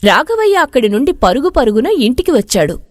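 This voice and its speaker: A woman reading an expressive scripted moral story as its narrator. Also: high voice, brisk, dry recording, clean studio sound.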